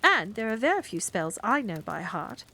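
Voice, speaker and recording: A woman's voice: medium pitch, sing-song, normal speed, sharp tone, full character voice. A woman voicing a prim, easily flustered middle-aged witch who snaps when pressed.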